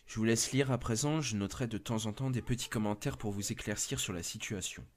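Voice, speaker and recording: A man's voice: lowish voice, fairly quick. A man narrating a scripted first-person horror story.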